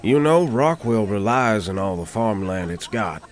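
A man playing a rude, grumpy older town trader in an audio roleplay, gruff and folksy. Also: lowish voice, lively intonation, a little slow. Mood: grumpy, dismissive.

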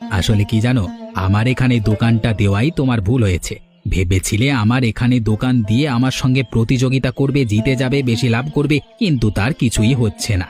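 A man acts out a money-proud village hotel owner from a cartoon. He is boastful, domineering and quick to taunt, in a mid-pitched male voice over clear background music. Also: a little mellow, natural voice. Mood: smug taunting. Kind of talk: Bengali village cartoon dialogue.

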